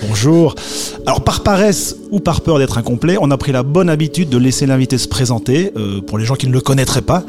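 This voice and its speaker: A man at mid pitch hosts a music podcast from a radio studio, in smooth host patter with playful asides. Clear music plays under his voice throughout. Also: animated, brisk. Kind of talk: hosted music podcast talk.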